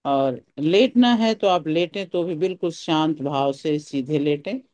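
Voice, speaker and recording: A meditation teacher giving calm, soothing guided instructions in short phrases with pauses. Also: medium pitch, no room sound.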